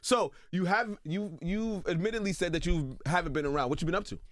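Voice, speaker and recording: A man's voice: high voice, fairly quick, fairly bright tone, no echo, clean studio sound. A man hosting a casual interview show, upbeat and asking short follow-up questions.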